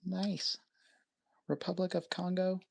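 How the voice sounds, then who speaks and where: A woman from Canada on a casual live stream, naming items one at a time in short, unhurried phrases. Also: on the low side, flat delivery, gravelly.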